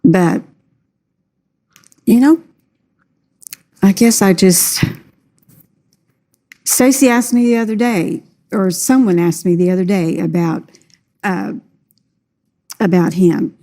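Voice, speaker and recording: A woman's voice: mid-range voice, slow, long pauses, mellow. A woman as a talk show guest, answering in a hesitant, defensive way that trails off. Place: tv studio.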